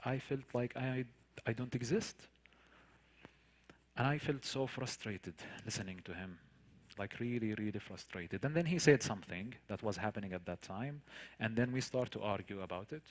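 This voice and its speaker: A man from the Palestinian territories gives a personal talk in accented English, with Arabic as his first language. His pitch sits in the middle for a man. He speaks earnestly, stressing key points through repetition and rhetorical questions. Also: lively intonation, moderate pace, low-quality recording. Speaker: guest speaker, tour guide. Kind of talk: personal storytelling in a public talk.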